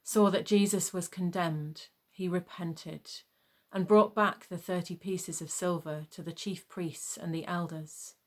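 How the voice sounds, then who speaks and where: A woman narrating a dramatised Bible reading in a measured, formal storytelling manner. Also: on the low side, fairly even intonation.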